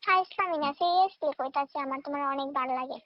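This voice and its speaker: High-pitched pleading young woman on muffled phone line. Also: fast talker, no echo. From Tripura, India. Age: 20s. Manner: pleading, insistent. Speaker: caller. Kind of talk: casual phone chat.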